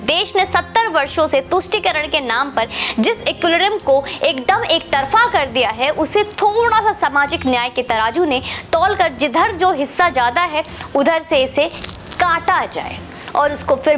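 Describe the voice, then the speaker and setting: A woman's voice: high voice, a bit bright. An Indian woman delivering an opinionated political monologue to the viewer, combative and lecturing, mixing in English words. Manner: combative, lecturing, rhetorical questions; mixes English words and phrases into the talk. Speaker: political commentator.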